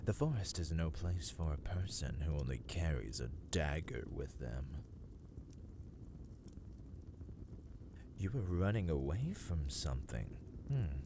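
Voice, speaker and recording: A man playing an ancient vampire lord in a dark fantasy ASMR roleplay, imperious and coldly courteous, with theatrical, archaic phrasing that often falls into whispers. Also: rumbling low, big pitch swings, normal speed, background noise, heavily acted. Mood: curious, probing. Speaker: voice actor in audio roleplay.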